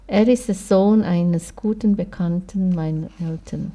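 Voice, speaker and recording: A young woman reading a scripted first-person account in a measured, even way. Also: lowish voice. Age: young adult.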